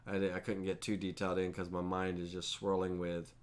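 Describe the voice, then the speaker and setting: A man's voice: deep voice, completely flat delivery, brisk. Stuffy, nasal man with a head cold. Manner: casual, rambling, talks to chat viewers by name. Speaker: host and instructor. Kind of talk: live-streamed software tutorial.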